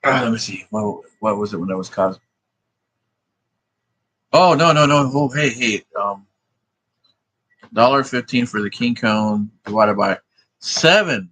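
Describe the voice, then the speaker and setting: A man's voice: on the low side, a little slow, long pauses, a bit bright, slightly rough, poor audio. A man rambling in a profane, meandering monologue, thinking aloud as he works through sums. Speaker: vlogger.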